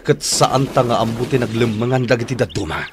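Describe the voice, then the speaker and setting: An actor with a Filipino accent playing a tough, loyal comrade in a gunfight, urgent and protective, in a radio drama. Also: male voice, mid-range voice, fairly quick, background noise, lightly acted. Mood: tense, wary.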